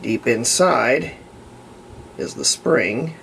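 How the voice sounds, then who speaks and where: A man with a gravelly, creaky voice explains a hobby repair in casual tutorial talk, pausing mid-sentence as he demonstrates. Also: medium pitch, lively intonation, fairly bright tone, a little hiss or hum.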